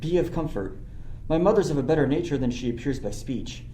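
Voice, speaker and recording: A woman playing a gentle, lovestruck, innocent youth who pleads softly in a Shakespearean radio play. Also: deep voice, animated, fairly quick. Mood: tender, devoted. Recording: roomy echo, faint noise.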